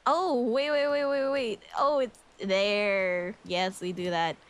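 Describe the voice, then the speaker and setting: Slow-talking Filipino woman streamer. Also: mid-range voice, fairly even intonation. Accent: Filipino English. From Philippines. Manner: chatty, thinking aloud, giggly. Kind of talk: casual game livestream chat.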